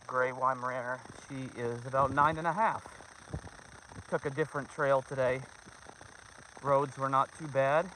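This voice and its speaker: Bright-voiced man livestreaming outdoors. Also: medium pitch, steady tone, a little slow, pauses often, poor audio. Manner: informal, talks to viewers, son and dogs. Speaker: livestreamer on a family dog hike. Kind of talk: casual livestream chat while walking. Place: outdoors on a snowy trail. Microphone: handheld phone. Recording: outdoor phone recording with clear background noise.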